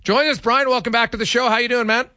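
A man's voice: very high voice, steady tone, quick pace, pushed and bright, no room sound. A man hosting a sports radio interview, asking questions in a conversational way.

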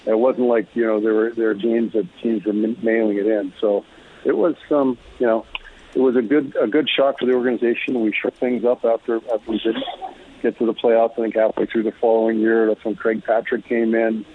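A middle-aged or older man, a former athlete as interview guest, talking reflectively and haltingly in a casual sports chat. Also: fairly low pitch, level tone, slightly rough. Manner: halting, thoughtful, repeats words. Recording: muffled, lo-fi call audio.

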